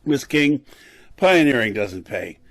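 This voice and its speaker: A man performs a disgraced brain surgeon with a mid-pitched voice, bitter and soaked in drink. He lectures in the manner of old radio drama, stumbling here and there over his words. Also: big pitch swings, slow. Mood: bitter, defiant. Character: embittered, drunken disgraced brain surgeon and professor.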